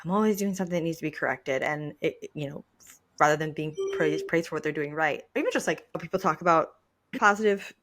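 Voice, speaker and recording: A woman's voice: low voice, fast, a bit bright. A woman in her thirties co-hosting a podcast, chatting informally and candidly with a self-deprecating way of talking. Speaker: podcast co-host. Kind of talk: casual chat.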